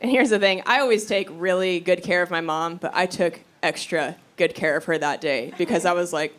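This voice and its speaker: A woman performing stand-up comedy, telling stories in an animated, conversational way and acting out quotes. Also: fairly low pitch, a little fast. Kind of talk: stand-up comedy storytelling to a live audience. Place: live comedy venue on stage. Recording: live crowd laughter, noisy stage recording. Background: audience laughter.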